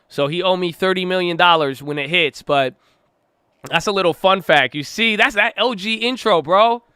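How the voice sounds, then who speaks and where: A man hosting a trading channel, talking in an excitable, slangy, casual way. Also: sits high, smooth, no echo, clean studio sound. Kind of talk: casual hyped vlog talk.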